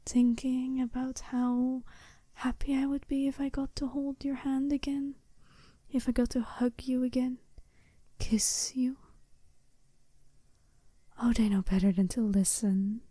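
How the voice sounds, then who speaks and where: Seductive female werewolf bride for ASMR roleplay, played by a woman: self-assured, teasing, breathy and soft, higher-pitched but very mellow, unhurried. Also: steady tone, no room sound. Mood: tender, longing, then teasing, confident. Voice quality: soft-spoken, breathy half-whisper. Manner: slow, teasing, deliberate, intimate.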